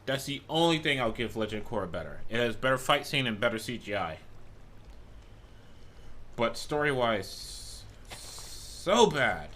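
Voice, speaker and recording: A man's voice: mid-range voice, sharp tone, slight background noise. A man streaming a game in a loose, rambling chat who swears freely, and who is losing his voice. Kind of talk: casual gameplay chat.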